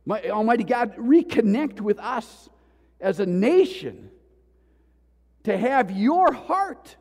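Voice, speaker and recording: A male pastor preaching a sermon with passion, swinging between loud pleas and hushed tones. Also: sits high, big pitch swings, slow, long reverb tail. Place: church sanctuary.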